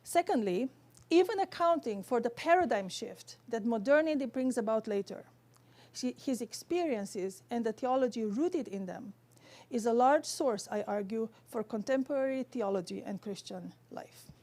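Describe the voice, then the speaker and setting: High-voiced Romanian woman giving a lecture. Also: animated, darkish tone. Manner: careful, halting, scholarly phrasing. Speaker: theology lecturer. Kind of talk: academic lecture.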